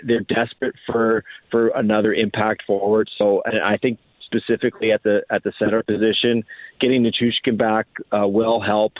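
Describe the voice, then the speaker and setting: A man's voice: lowish voice, no echo. A man talking sports analysis as a radio guest over a phone line, often repeating and restarting his phrases. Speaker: hockey writer, interview guest. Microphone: phone call. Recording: tinny, narrow phone-line sound.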